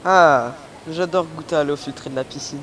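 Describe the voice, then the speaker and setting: A man voicing a hapless, hesitant teenage schoolboy in a French animated fan dub. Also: fairly high pitch, normal speed, faint music behind, lightly acted, rough recording. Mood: casual.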